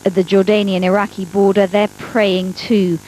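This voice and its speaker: A woman's voice: medium pitch, flat delivery, moderate pace, darkish tone, faint music behind, poor audio. A woman narrating a scripted television news report.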